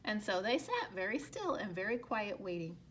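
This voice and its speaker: A woman's voice: mid-range voice, big pitch swings, normal speed, a little hiss or hum. A woman teacher narrating a picture book expressively as she reads aloud to children.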